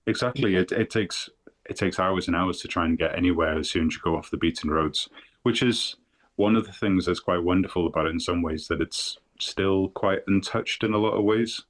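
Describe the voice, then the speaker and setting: A man with a North Welsh accent, a guest talking in a thoughtful, unhurried way in a casual podcast chat. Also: low voice. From Wales.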